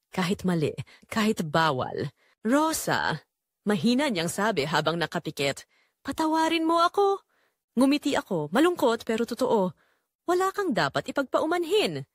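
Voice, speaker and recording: A woman with a Filipino accent narrating a scripted drama in the first person, measured and emotive with pauses. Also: medium pitch, no room sound, studio quality. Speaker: storyteller.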